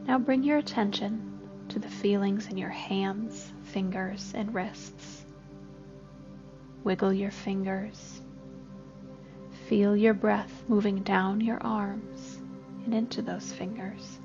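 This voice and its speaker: A woman gently leading a scripted guided body scan meditation, giving unhurried instructions as a therapist. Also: medium pitch, steady tone, lo-fi sound. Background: soft music.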